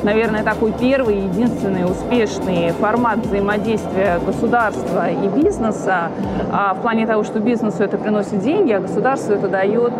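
Businesswoman speaking over loud music. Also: medium pitch, poor audio. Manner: measured, many hesitation fillers. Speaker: franchise representative. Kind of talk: business interview. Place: exhibition stand in a large hall.

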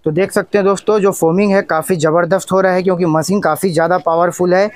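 A man's voice: high-pitched, steady tone, fast talker, no room sound. A young man narrates a product demonstration vlog in a repetitive, instructional manner. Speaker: tech review channel host.

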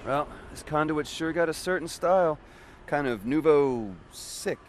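A man's voice: fairly high pitch, animated, a little slow, a little hiss or hum. An actor voicing a cocky, sarcastic young American superpowered man in a video game. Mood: wisecracking. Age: young adult. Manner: sarcastic, flippant. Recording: music and street sounds behind.

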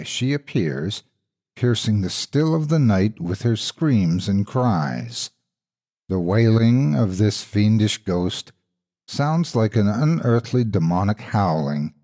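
A man narrating a scripted horror story in a husky voice, with suspenseful, expressive delivery. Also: on the low side.

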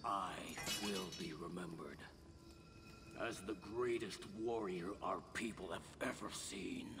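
An actor playing a proud, defiant samurai warlord in a video game, declaiming imperiously. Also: male voice, low voice, measured pace, vocal fry. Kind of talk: dramatic video game acting. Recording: loud cinematic music behind.

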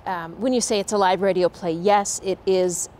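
A woman's voice: mid-range voice, fairly even intonation, moderate pace, a little mellow, slight background noise. A woman in her thirties explaining something as an interview guest, on a lapel mic. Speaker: stage actor, interview guest. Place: large indoor hall decorated with Christmas trees.

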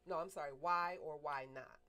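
Low-voiced woman talk show co-host. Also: bright, forceful tone. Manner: firm, measured, opinionated. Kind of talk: casual group chat. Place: small studio room with a table, indoors. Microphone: desk mic on a stand.

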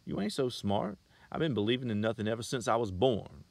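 A man's voice: medium pitch, animated, fast, a little mellow, no room sound, voice pushed up, close to an everyday voice. A man reading aloud and voicing a crude, sly young Bible salesman with a coaxing country drawl. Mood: scornful.

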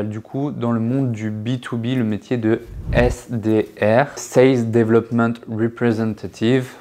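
Thirties male trainer, casual on-camera explainer, lapel mic, filler-heavy and chatty, mid-pitched. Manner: chatty, many fillers and restarts, lively hand gestures. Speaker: sales trainer, channel co-host. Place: living room set with sofa and shelves.

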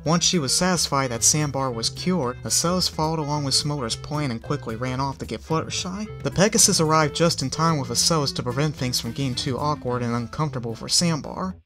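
A man reading a fan story aloud as its narrator, with an expressive delivery. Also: medium pitch, fairly quick, few pauses. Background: faint background music.

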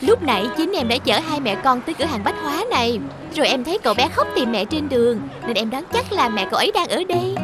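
A perky young taxi car with a very high, bouncy voice. She is bright and eager, quick to get flustered. The cartoon dub has music running behind her. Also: very animated. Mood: cheerful. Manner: bright, eager, easily startled. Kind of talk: dubbed cartoon acting.

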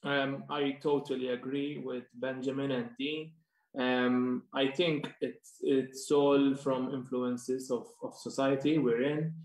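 Young adult panelist, even monotone, roomy call audio, repeats words. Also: male voice, medium pitch. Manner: halting, repeats words while searching. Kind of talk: panel discussion on a video call. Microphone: webcam on a video call.

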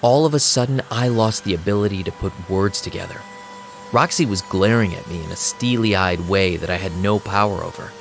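A man's voice: on the low side, a little fast, gravelly, a little hiss or hum. A man playing a cocky but anxious young vampire DJ, telling his story in slangy audio drama style. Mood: wry narrating. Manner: slangy, streetwise. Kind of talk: first-person audio drama narration.

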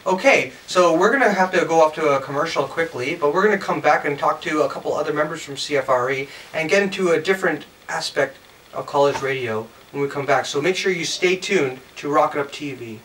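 A man's voice: on the high side, brisk, fairly bright tone. A man in his thirties hosting a casual interview show from an indoor studio. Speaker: TV show host. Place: furnished indoor music room studio. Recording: distant mic with clear background noise.

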